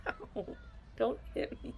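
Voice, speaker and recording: A woman doing an ASMR role-play as a shy, fond office worker, talking in a hesitant, giggly way that often stalls on her words. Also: a little hiss or hum.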